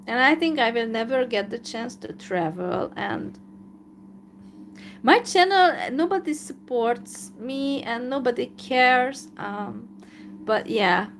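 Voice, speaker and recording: A woman from Hungary with a Hungarian accent, talking in a live video monologue in a downcast, resigned way, full of hesitant pauses. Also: fairly high pitch, fairly bright tone, a little hiss or hum. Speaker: YouTuber.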